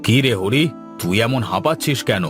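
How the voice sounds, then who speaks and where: A man's voice: mid-range voice, animated, fairly quick, music in the background, lightly acted. A man voicing a worried, doting village master and father in a cartoon, dramatic and fretful. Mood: alarmed. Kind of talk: cartoon voice acting.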